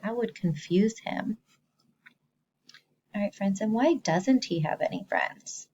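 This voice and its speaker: A woman teacher reading a story to young children, expressively, pausing to explain simply and ask the listeners questions. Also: mid-range voice, lively intonation, darkish tone, muffled recording, a little hiss or hum.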